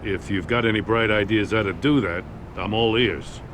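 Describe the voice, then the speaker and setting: An actor playing a weary, gruff middle-aged male police commissioner in a video game, blunt and tired. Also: fairly low pitch, moderate pace, background noise, close to an everyday voice.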